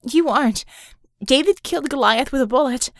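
A woman voicing a tiny, defiant, sarcastic heroine in an audiobook, with sharp, snarling, emphatic delivery. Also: high-pitched, normal speed, no room sound, clean studio sound, voice pushed up.

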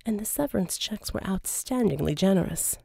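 A woman's voice: medium pitch, expressive, brisk, gravelly, mellow, slightly rough, no echo, studio quality. A woman reading scripted fiction as an audiobook narrator.

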